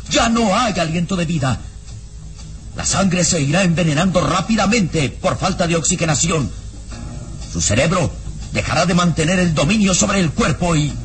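A man narrating a radio serial in a grave, theatrical, scripted style. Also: on the high side. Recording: radio drama with music bed.

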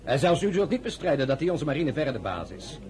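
An actor playing a forthright, sceptical French general, emphatic and sardonic, in a radio drama. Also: male voice, a little high, very animated, a little fast, a crowd in the background. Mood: heated, emphatic.

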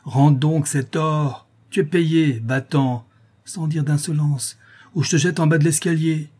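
A man playing a stern, commanding prince, husky. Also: medium pitch, steady tone, normal speed, dark tone, natural voice. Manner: curt, hard, imperious.

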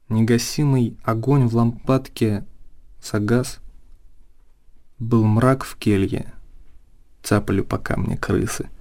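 Mellow, solemn man narrating slowly. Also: fairly low pitch. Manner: slow, deliberate, solemn delivery in short broken phrases. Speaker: narrator reading literary prose. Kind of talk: dramatic literary narration for radio.

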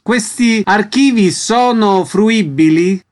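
A man's voice: sits high, takes it slowly, a little hiss or hum. A man hosting a radio interview, reading announcements and asking short questions.

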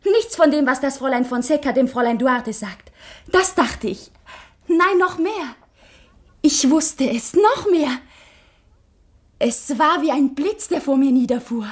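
A woman playing an anxious, intense schoolgirl confiding in her mother in a literary radio play, with earnest, halting delivery. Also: high voice, expressive.

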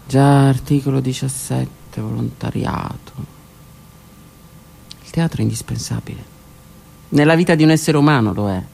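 Gravelly woman in a dramatic monologue. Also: a bass voice, dark tone. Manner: introspective, dramatic delivery, drops to whispers. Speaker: stage performer. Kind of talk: theatrical monologue for radio. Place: stage or studio performance.

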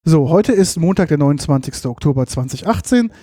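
A man's voice: on the high side, expressive, a little fast, warm tone, no echo. A man hosting a podcast from a studio, asking two guests short, playful questions in a casual interview. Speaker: podcast host.

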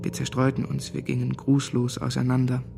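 A sombre young man recalls his past in literary radio-drama monologue. His voice is gravelly and of medium pitch, with music underneath. He sounds haunted. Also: monotone, a little fast, dark tone. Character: haunted young man, former Nazi boarding-school pupil.

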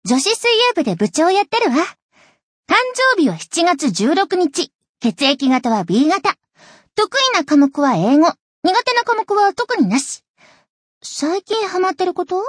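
High-pitched, bossy anime big sister. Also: female voice, big pitch swings, measured pace, no echo, clean studio sound, heavily acted. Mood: self-assured. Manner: self-assured, scolding yet doting. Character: bossy, teasing teenage big sister, swim club captain. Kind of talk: anime game voice acting, character monologue.

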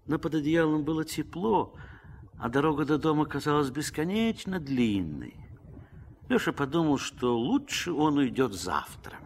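A man's voice: fairly high pitch, big pitch swings, normal speed, a little hiss or hum. A man narrating a Russian radio play in a scripted storytelling style.

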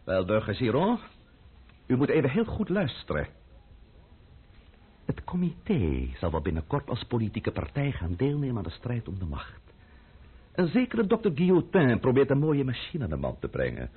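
A menacing, sarcastic French revolutionary official in a radio play. His pitch leaps dramatically and his voice is rough-edged, with sneering politeness. The recording is muffled and old. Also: huge pitch swings. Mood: cold threat. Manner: sneering, mock-polite, drawn-out, bursting into shouts.

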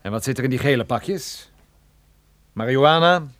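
A tough male private detective as an actor performs him in a radio play. He is sardonic and threatening, with a medium-pitched voice that rises and falls a great deal. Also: animated, moderate pace, a bit bright, lightly acted. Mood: commanding, threatening. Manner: menacing, dryly sarcastic. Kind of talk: radio drama acting with first-person narration.

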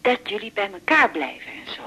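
An actress playing a chatty, nosy middle-aged mother in a naturalistic radio drama, fussy and quick to interject. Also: mid-range voice, big pitch swings, bright, forceful tone, breathy edge, heavily acted. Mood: fussy, questioning. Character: chatty, nosy widowed mother of grown daughter.

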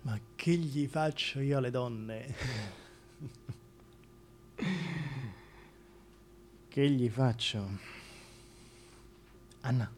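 A man's voice: mid-range voice, very slow, long pauses, darkish tone, a little hiss or hum. An actor playing a crude, scheming, wheedling king in a theatrical comic monologue, with a histrionic delivery that swings from murmurs to outbursts. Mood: sly, wheedling. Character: crude, scheming, wheedling male tyrant king wooing a woman. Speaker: stage actor in a solo radio theatre piece.

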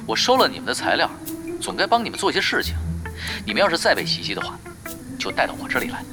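A man's voice: on the high side, huge pitch swings, quick pace. An actor playing a cool, shrewd and shameless male veteran gamer in an audio drama, with a nonchalant, teasing manner. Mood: nonchalant, sly. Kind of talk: Chinese audio drama acting. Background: background music.

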